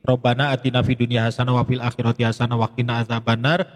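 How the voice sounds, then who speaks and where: A man's voice: medium pitch, level tone, quick pace. A man with an Indonesian accent giving an informal, explanatory religious tutorial as an Islamic teacher. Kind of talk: religious tutorial with Arabic prayer recitation. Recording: long reverberant hall echo.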